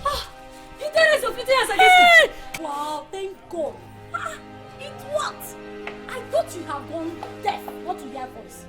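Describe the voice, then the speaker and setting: A Nigerian-accented woman playing a feisty young shopper, loud, combative and scolding. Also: very high-pitched, big pitch swings, takes it slowly, over loud music, heavily acted. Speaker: skit actress. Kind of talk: comedy skit acting.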